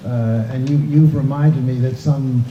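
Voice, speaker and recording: Halting man interviewing on stage. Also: medium pitch, fairly even intonation, echoey room, lo-fi sound, a little hiss or hum. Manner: halting, with many restarts. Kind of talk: onstage interview. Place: event hall with an audience.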